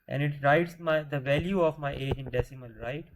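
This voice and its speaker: A man's voice: fairly high pitch, fairly quick, slight background noise, rough recording. A man teaching a programming lesson, patiently asking questions and answering them himself, often restarting words. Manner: restarts words mid-sentence. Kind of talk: screen-share coding lesson.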